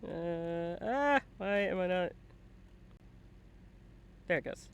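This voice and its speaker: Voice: medium pitch, animated, slow, clean tone. A host chatting casually on a livestream, with a playful, teasing, sweary manner. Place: indoors at a computer setup, live online stream.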